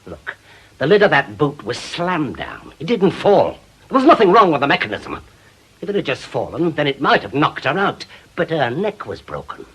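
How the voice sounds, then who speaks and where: Bitter, husky British ex-policeman, intense. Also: on the high side, big pitch swings, moderate pace, exaggerated voice, rough recording. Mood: insistent, angry. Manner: forceful, sardonic, hectoring. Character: bitter, obsessive widowed ex-policeman bent on revenge. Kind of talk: British radio drama acting.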